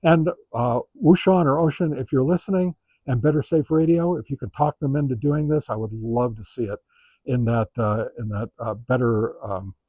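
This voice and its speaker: A man giving a casual product review as a monologue, halting and often pausing mid-sentence. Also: mid-range voice, mellow, husky, no room sound. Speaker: radio gear reviewer.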